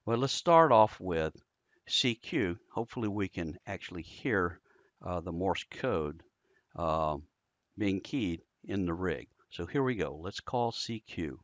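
A man's voice: low voice, expressive, unhurried, no room sound, dull audio. An American man giving a casual screen-recorded tutorial, explaining informally step by step. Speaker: amateur radio instructor.

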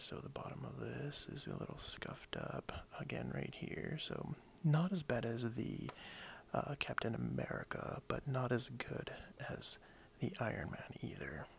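A man speaking softly in a breathy half-whisper, hushed and friendly, role-playing a toy shop owner for ASMR. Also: medium pitch. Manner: hushed, unhurried, friendly, hesitant phrasing. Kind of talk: soft-spoken ASMR role play. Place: indoors at a wooden desk.

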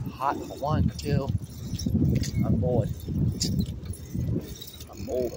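A young American man rambling casually in a vlog, halting and repeating himself, recorded on a phone at arm's length outdoors. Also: mid-range voice. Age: young adult. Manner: repetitive, halting, trailing off. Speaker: daily vlogger. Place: outdoors on a bike by streets. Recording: very noisy outdoor recording, wind and vehicles.

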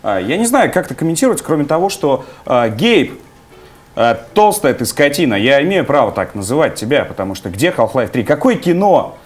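A young man hosting a casual studio chat show, joking and lively, with many fillers, on a lapel mic in a small studio. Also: mid-range voice, a little fast. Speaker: host. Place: small studio with orange chairs.

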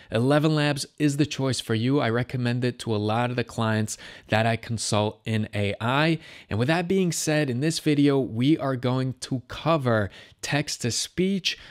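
Upbeat man guiding a software tutorial. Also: medium pitch. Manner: upbeat, explanatory, eager. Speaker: tutorial host and AI consultant. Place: indoor room in front of a painted brick wall.

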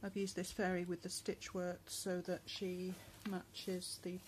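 Softly chatty woman, slightly hoarse. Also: on the low side, monotone, a little hiss or hum. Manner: soft, chatty, with many pauses and fillers. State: going hoarse, clearing her throat. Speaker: crafter showing a handmade journal. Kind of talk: casual craft flip-through narration. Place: indoors at a table at home. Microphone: phone camera above the table.

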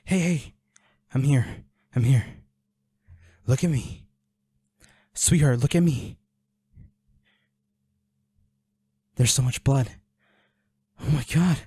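A man's voice: mid-range voice, slow pace, pauses often, warm tone, slightly rough. A man playing a protective, worried boyfriend in an intimate audio roleplay, speaking in a pleading, soothing, urgent way. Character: protective, worried boyfriend tending his injured werewolf partner.

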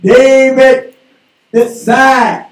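Slow, high-voiced preacher saying amen constantly. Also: male voice, steady tone. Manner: slow preaching, saying amen after nearly every phrase. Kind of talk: sermon. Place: small church sanctuary, indoors. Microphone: pulpit mic, filmed by a far camera. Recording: echoey room from far off.

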